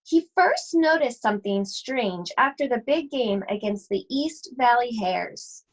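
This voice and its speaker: A woman reading a children's storybook aloud expressively, with deliberate, suspenseful phrasing and drawn-out words. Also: fairly high pitch, expressive, rough recording. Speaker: school library read-aloud host.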